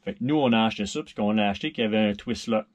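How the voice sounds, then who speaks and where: A man's voice: on the low side, very fast. Casual Québécois man explaining boat gear. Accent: Québécois French. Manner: relaxed, thinks aloud with fillers, mixes in English boat terms. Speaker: sailboat owner showing a refit. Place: small boat cabin, indoors.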